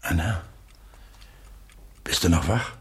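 An actor playing a stern, stubborn middle-aged father in a radio drama, terse and authoritative, with a husky, gravelly voice. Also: sits low, slow, full character voice. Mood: curt, subdued.

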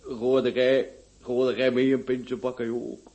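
A man's voice: medium pitch, level tone, slow pace, darkish tone, lightly acted. A man playing a drunken stammering peasant. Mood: jovial. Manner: stammering, slurred, folksy. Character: drunken boorish peasant man, sheepish and jovial. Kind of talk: radio drama acting. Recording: dull lo-fi radio play recording.

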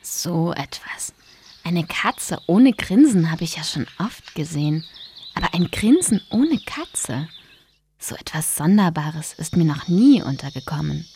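A woman's voice: deep voice, very animated, measured pace, mellow, slight background noise, heavily acted. A woman playing a curious, polite and slightly hesitant young girl in a fairy-tale audio drama. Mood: wondering, musing.

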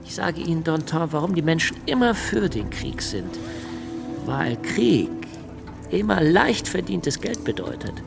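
An actor in a German radio drama playing a principled, suspicious revolutionary politician who speaks deliberately and emphatically. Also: male voice, fairly high pitch, very animated, creaky, over music, full character voice. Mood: bitter, insistent.

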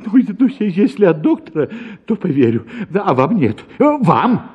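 Very high, blustering older man. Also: big pitch swings, slightly rough, narrow, tinny audio, heavily acted. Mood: incredulous. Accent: Russian. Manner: blustering, repetitive, drawn-out exclamations. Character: exasperated, stubborn, wheedling older prospective father-in-law. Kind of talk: theatrical radio drama acting of a classic comic story.

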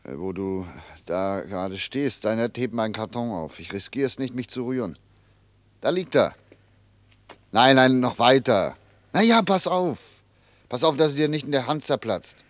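An actor playing a bossy, nervous and irritable vagabond who bickers and gives orders in a radio play. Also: male voice, mid-range voice, expressive, moderate pace, no room sound, natural voice. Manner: bickering, commanding, agitated. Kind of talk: German radio play acting.

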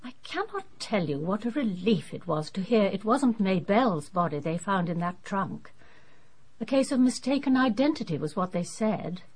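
A woman's voice: medium pitch, big pitch swings, moderate pace, a little mellow, breathy edge. An actress playing a genteel, anxious middle-aged English lady in a radio drama, talkative and earnest. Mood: relieved, chatty.

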